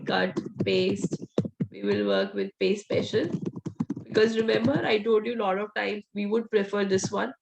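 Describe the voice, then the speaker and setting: A woman's voice: mid-range voice, gravelly, muffled recording, noisy background. A woman trainer, teaching a live coding class with her voice almost gone, direct and coaching. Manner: direct, coaching, laughs often. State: voice nearly gone, hoarse. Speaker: VBA trainer. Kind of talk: live online class, teaching and answering questions.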